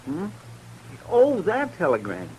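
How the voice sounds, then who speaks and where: A man's voice: high voice, wildly swooping pitch, slow, exaggerated voice. A man playing a deferential, folksy deputy in a 1950s radio western, obliging and a little rambling. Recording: noisy old 1950s radio recording.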